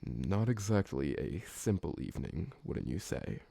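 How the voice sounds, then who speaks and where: A man playing a gentle, awkward, polite old vampire servant who talks hesitantly and deferentially in an ASMR roleplay. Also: low voice, very animated, a little slow, gravelly, slightly rough, no echo, exaggerated voice. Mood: gentle, tentative. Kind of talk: ASMR roleplay audio drama.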